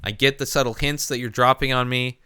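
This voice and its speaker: A man hosting a casual comedy podcast, talking in a rambling, halting way with plenty of swearing. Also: medium pitch, steady tone, bright, forceful tone, clear, resonant tone, no echo, clean studio sound. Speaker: podcast host.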